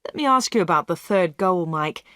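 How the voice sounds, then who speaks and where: A woman's voice: lowish voice, expressive, moderate pace, fairly bright tone, no echo. Brief: woman reading as an upbeat TV sports interviewer, dry close read. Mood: probing.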